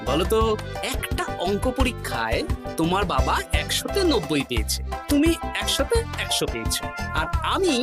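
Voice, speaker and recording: A woman's voice: on the low side, wildly swooping pitch, moderate pace. A woman voicing a witty, courteous royal jester in a cartoon, playful and probing. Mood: playful, quizzing. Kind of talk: cartoon voice acting. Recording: loud music behind the voice.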